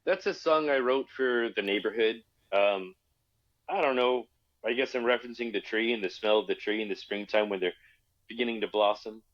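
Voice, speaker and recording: Low-voiced male guest, relaxed, slightly muffled. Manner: relaxed, thoughtful, halting. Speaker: guest musician. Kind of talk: casual interview chat.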